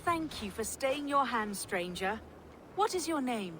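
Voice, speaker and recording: A woman's voice: fairly high pitch, big pitch swings, a little slow, fairly bright tone, a little hiss or hum. A woman playing a level-headed, inquisitive Saxon abbess in a video game, speaking formally. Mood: earnest. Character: composed, inquisitive Saxon abbess investigating dark mysteries. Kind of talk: video game cutscene acting.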